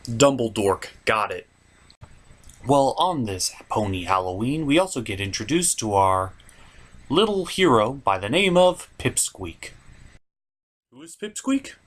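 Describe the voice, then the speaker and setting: A man's voice: medium pitch, very animated, measured pace, long pauses, fairly bright tone. A man hosting a scripted comedic review, narrating in a sarcastic, exasperated manner. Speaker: host of a comedic cartoon review show.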